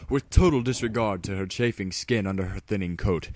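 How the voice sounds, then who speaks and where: A man's voice: lowish voice, brisk, darkish tone, no echo. A man reading a story aloud as a dramatic, scripted audiobook narration.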